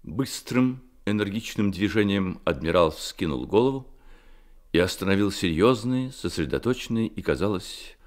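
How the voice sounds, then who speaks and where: A man narrating a literary Soviet radio drama in a measured, expressive storytelling manner. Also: low voice.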